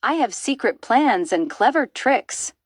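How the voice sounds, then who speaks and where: A woman's voice: on the high side, animated, unhurried, dry recording, clean studio sound, voice pushed up. Woman voicing a gloating, greedy enormous crocodile. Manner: gloating, savouring its words. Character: enormous greedy boastful crocodile, gleefully wicked.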